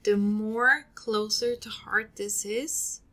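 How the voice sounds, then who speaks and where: A woman from Sweden with a Swedish accent, hosting a solo podcast and giving earnest advice, often trailing off into breathy ends. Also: medium pitch, fairly even intonation, slow, bright, forceful tone. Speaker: podcast host.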